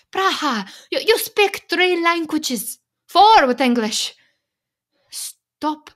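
Earnest, high-voiced Slovak immigrant wife. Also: female voice, a bit bright, full character voice. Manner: pleading, measured, emphatic. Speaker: actor. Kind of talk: radio play acting.

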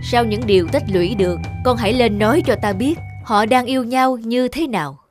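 A woman voicing a large, patient god of love in a cartoon, a wise mentor who instructs in measured words. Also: on the high side, darkish tone, soft background music, natural voice. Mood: kindly instructing. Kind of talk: cartoon dubbing.

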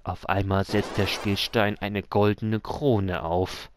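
A man's voice: lowish voice, level tone, slow pace, noisy background. A man hosting a let's play, commenting on the game and reading its text aloud. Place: voice recorded over game audio, music behind.

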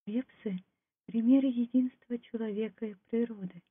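Woman reading a scripted story, unhurried, husky voice, tinny muffled audio. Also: steady tone. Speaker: narrator. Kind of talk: scripted folk tale and documentary narration. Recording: muffled, low-fidelity phone-like sound.

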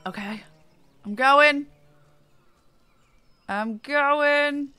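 A woman's voice: high voice, drawn-out words, pushed and bright, dry recording, soft background music. A woman streamer chatting casually and muttering to herself as she plays a game. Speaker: streamer playing an online fantasy game. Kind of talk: casual live gaming commentary.

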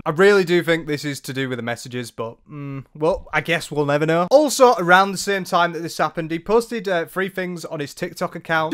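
A man's voice: on the high side, fairly bright tone. A young man in his twenties doing casual reaction commentary, with sarcastic, mocking asides, close on a handheld mic in a small room. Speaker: commentary YouTuber. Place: small room indoors, at a desk. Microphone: handheld dynamic mic close up.

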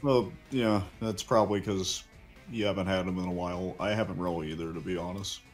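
Casting: male actor as cartoon ninja chameleon detective, low voice, measured and polite, casual gaming chat, music underneath. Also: fairly even intonation, lightly acted. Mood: calm, reassuring. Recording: music behind the voice.

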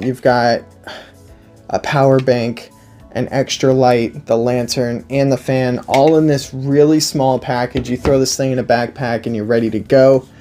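A man's voice: medium pitch, mellow. Young guy doing a casual gadget review, takes his time, really into it and repeats stuff, faint music. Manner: enthusiastic, emphatic, repeats phrases. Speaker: product review host. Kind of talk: casual unscripted product review. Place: indoor room, seated at a table before a backdrop.

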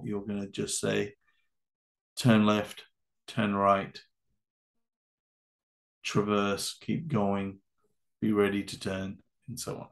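A man narrating a slide-based training lecture for volunteer ski guides in a measured, instructive way. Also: on the low side, vocal fry. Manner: measured, instructive, with occasional fillers. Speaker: adaptive ski course presenter. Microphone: voice-over.

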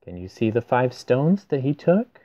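Slow-paced man reading a picture book. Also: medium pitch, sing-song, mellow, no echo, dull audio. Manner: simple explanations addressed to children. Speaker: storyteller. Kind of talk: children's picture book read-aloud with casual asides.

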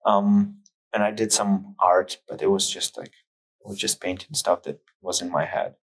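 Husky low-voiced man with Ukrainian accent. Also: little pitch movement, moderate pace. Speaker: podcast guest, hobby photographer. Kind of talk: casual chat, anecdotes.

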